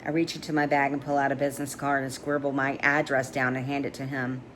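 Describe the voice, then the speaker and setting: A woman doing an animated, informal read-along of romance fiction as narrator, stumbling over words now and then. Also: extremely low pitch, fast talker. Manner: stumbles over words and giggles while reading. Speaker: narrator reading a novel aloud. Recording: clear background noise.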